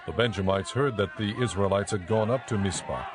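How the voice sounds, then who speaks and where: Low-voiced male scripture narrator. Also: a little fast, a crowd in the background, noisy background. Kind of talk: scripted narration of dramatized Bible audiobook.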